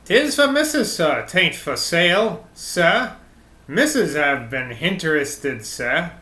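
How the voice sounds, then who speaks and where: Guy doing old fisherman, drawly talk, higher voice, echoey room. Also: big pitch swings, slow pace, pushed and bright, exaggerated voice. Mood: pleading. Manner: drawling, repeats "sir" constantly. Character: old male rustic fisherman, stubborn and fussy.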